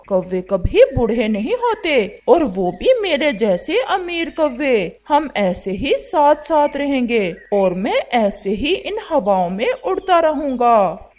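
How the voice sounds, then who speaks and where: A woman's voice: on the high side, a little mellow. A woman voicing an arrogant, rich old male crow who sneers at the poor and boasts he never ages. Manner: scornful, brags about wealth, denies getting old. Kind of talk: Hindi cartoon voice acting.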